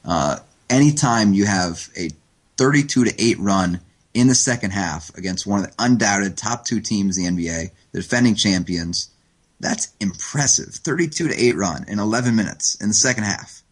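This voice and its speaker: Man, very low voice, husky, podcast guest, earnest and analytical. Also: expressive, normal speed. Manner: earnest, analytical, many fillers. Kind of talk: casual sports chat. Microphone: phone line.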